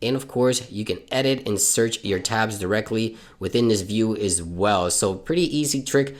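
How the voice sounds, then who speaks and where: A man's voice: on the low side, moderate pace. Upbeat man from Puerto Rico, tech host. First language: Spanish. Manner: upbeat, instructional, step-by-step. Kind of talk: tech tips walkthrough.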